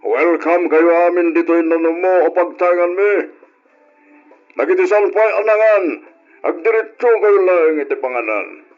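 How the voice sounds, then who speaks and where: An actor playing a middle-aged father, a formal and insistent head of the family, speaking deliberately in an old radio drama on a lo-fi recording. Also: sits high, soft background music, natural voice. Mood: celebratory.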